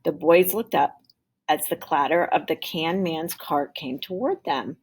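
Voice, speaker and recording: Low, creaky-voiced woman reading a storybook. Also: a little slow. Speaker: teacher reading a picture book aloud. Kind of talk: expressive storybook read-aloud.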